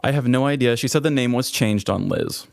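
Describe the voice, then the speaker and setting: A man with a mid-pitched voice co-hosts a podcast in casual conversation. He tells comedic stories in an informal way. The sound is very dry, free of room echo. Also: brisk, creaky. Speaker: podcast co-host.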